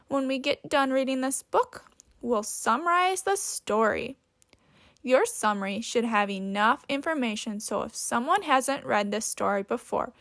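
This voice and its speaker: A woman with a high voice reads a children's story aloud like a teacher. Her delivery is slow and deliberate, with an instructive manner that makes room for questions. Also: a bit bright.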